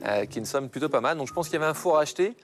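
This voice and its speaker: A man's voice: a little high, lively intonation, racing. A man hosting a TV cooking show with playful, teasing banter. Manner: jokey, teasing. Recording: music behind the voice. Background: background music.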